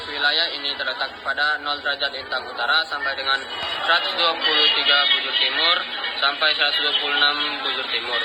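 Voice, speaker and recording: A young male student giving a school slide presentation in a noisy amateur recording. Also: on the high side. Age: teenager or young adult.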